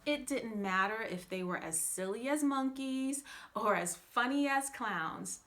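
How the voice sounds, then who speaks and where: A woman reading a fairytale aloud as a children's storyteller, with theatrical, drawn-out emphasis. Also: fairly high pitch, animated, a bit bright. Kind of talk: scripted fairytale narration for children.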